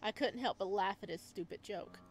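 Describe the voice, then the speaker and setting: A woman's voice: mid-range voice, fairly quick, soft background music. A woman hosting a gaming video, reading a visual novel aloud and adding casual, slangy asides. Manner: informal, slangy, reacts in asides.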